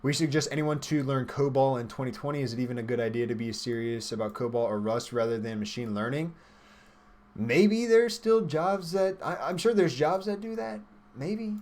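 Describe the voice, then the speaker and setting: A man's voice: mid-range voice, animated, normal speed, a little mellow. A man in his twenties hosting a livestream, chatting informally and thinking aloud as he reads and answers viewer questions. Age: 20s. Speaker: livestream host, software developer.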